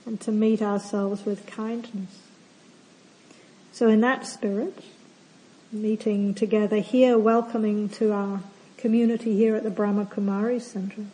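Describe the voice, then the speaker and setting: A woman meditation teacher giving a calm, gentle opening talk at a retreat. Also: on the high side, poor audio. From not from Australia. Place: retreat centre hall.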